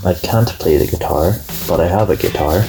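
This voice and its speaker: A man's voice: sits low, normal speed, gravelly, music in the background. A man contestant in a podcast game, casually thinking aloud.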